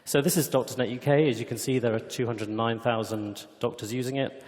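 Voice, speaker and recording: A man's voice: mid-range voice, steady tone, fairly quick, a little mellow. Man presenting in an echoey hall. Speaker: company executive presenting a product demo. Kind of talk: conference product demonstration. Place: conference hall stage. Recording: echoey hall with long reverb.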